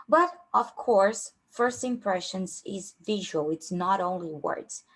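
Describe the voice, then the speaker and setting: A woman's voice: medium pitch, slow. Young Brazilian-accented woman coaching, casual workshop talk, lots of fillers. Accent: Brazilian Portuguese. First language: Portuguese. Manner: informal, many fillers and restarts. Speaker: career coach.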